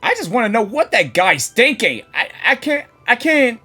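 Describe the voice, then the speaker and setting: A woman's voice: on the high side, very animated, moderate pace, bright, forceful tone, husky. A woman streamer giving casual, rambling reactions to a game as she plays, often repeating her words. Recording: game music behind voice.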